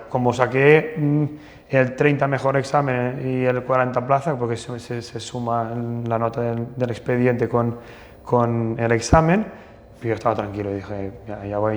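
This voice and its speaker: A man from northern Portugal, a plastic surgeon telling anecdotes in an interview, hesitant with many restarts and fillers. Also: mid-range voice. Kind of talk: casual interview storytelling. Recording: long hall reverb.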